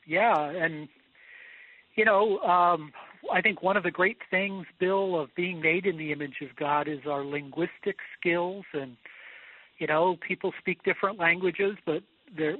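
A man giving measured, thoughtful explanations as a guest scholar on a radio show, pausing as he works through answers. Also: a little high, no room sound. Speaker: guest philosopher and theologian. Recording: phone-like narrowband radio line.

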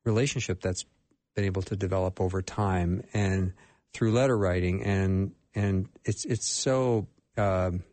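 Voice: sits low, a little slow, darkish tone, no echo. A radio host asking playful, emotional questions during a live interview.

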